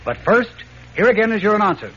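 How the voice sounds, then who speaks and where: An actor as the urgent narrator of a 1940s radio serial, delivering scripted lines in a dramatic, emphatic style. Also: male voice, high voice, big pitch swings, quick pace, sharp tone, slightly rough, full character voice. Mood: dramatic, suspenseful. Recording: muffled, noisy old radio recording.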